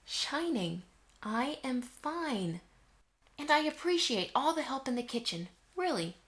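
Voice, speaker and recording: Brisk motherly mare played by a woman, highish voice. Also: very animated, fairly bright tone, exaggerated voice. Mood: exasperated. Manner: firm, motherly. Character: middle-aged mother mare, brisk, bossy, affectionate. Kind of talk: fan audio drama acting.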